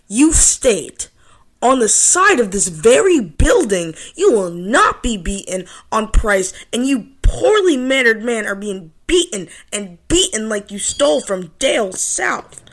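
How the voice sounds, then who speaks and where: Haughty, indignant high-voiced customer. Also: female voice, big pitch swings, a little slow, exaggerated voice. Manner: scolding, emphatic. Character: indignant, haughty small-town customer, prim yet foul-mouthed. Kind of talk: comic radio drama acting.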